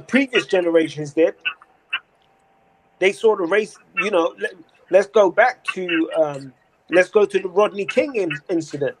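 A man's voice: high-pitched, noisy background. A man in his forties talking casually and earnestly in a remote conversation, rambling with restarts and slang. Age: 40s.

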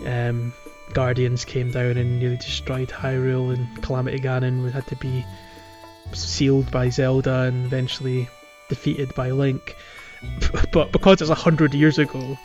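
A man's voice: mid-range voice, monotone, unhurried, a little mellow, faint music behind. Man, thirties, podcast host, mid-range voice, casual gaming conversation. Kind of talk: casual playthrough chat.

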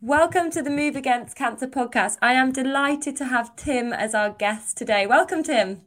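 A woman's voice: high voice, normal speed. A young woman hosting a podcast, introducing her guest in an upbeat, enthusiastic way and chatting casually. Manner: upbeat and enthusiastic, warm interviewer. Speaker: podcast host.

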